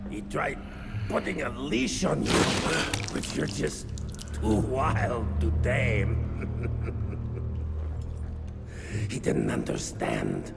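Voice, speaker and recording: An actor playing a cruel, mocking vampire villain in a video game cutscene, with a gravelly, creaky voice that drops to whispers and rises to shouts. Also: male voice, medium pitch, big pitch swings, over loud music, full character voice. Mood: sneering. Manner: slow, sneering, swings from whisper to shouting. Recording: loud orchestral score behind.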